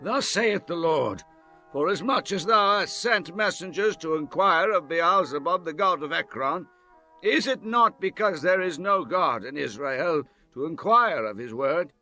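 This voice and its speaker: A man performs a stern biblical prophet in a dramatized audiobook. His voice sits fairly high and swings widely as he declaims with solemn command over background music. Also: lively intonation, a little slow, bright, forceful tone, full character voice. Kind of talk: dramatized scripture reading.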